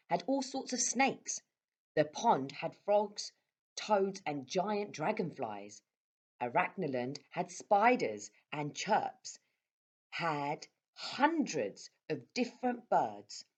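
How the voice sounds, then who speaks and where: A woman reading a children's story aloud as a storyteller, with expressive delivery. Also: lowish voice, slightly rough. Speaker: storyteller at a primary school.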